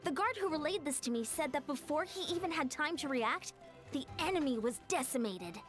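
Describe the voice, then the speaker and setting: A proper young warrior-diplomat who speaks in polite, measured sentences. Her voice sits high and moves with lively rises and falls. Soft game music plays behind her. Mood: earnest.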